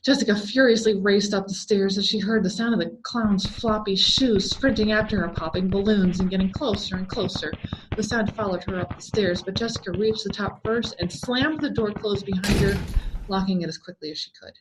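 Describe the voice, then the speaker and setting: A woman reading a scary story aloud as a dramatic, expressive scripted narration full of suspense. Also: mid-range voice, normal speed, noisy background. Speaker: story reader.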